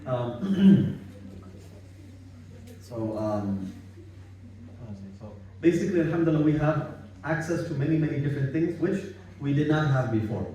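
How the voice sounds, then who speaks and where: Male religious speaker, warm dark tone, conversational and repetitive, recorded off-mic in a very reverberant room. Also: on the high side, lively intonation, measured pace, pauses often, slight background noise. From Bangladesh. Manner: conversational, repetitive, seeking agreement with tag questions. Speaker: Islamic study circle speaker. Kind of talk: informal religious talk. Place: gathering in a private house. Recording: echoey room, distant microphone.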